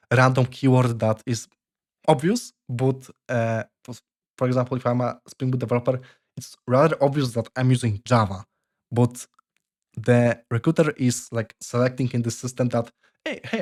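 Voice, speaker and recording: A young man with a Polish accent giving casual career advice, halting and full of fillers and restarts. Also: medium pitch, a little mellow. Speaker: software developer vlogger.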